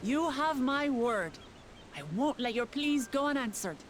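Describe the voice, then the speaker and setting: A woman's voice: high voice, animated, a little slow. A young woman voicing an earnest royal heir in a fantasy video game, sincere and resolute in manner.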